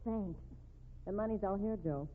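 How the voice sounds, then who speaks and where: An actress playing a brisk, feisty woman in a 1950s radio drama. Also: medium pitch, slight background noise, natural voice. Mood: pleased. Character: brisk, feisty young blonde woman accomplice. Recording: narrow phone-like old radio recording.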